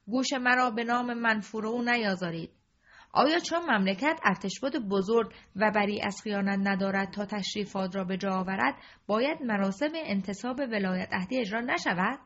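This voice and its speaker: A woman voicing an aging male king who is commanding and fatherly, with a husky voice on a dull lo-fi recording. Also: fairly high pitch, big pitch swings, voice pushed up. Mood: wrathful. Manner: imperious, loud commands.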